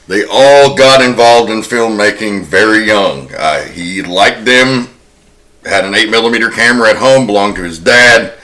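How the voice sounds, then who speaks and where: Bright, low-voiced man hosting a podcast. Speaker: podcast host. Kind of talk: conversational horror podcast hosting and film history narration.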